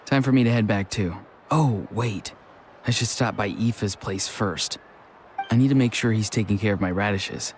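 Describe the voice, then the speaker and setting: Man as lowish, down-to-earth game character. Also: normal speed, a little hiss or hum, lightly acted. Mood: casual. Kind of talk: anime game voice acting.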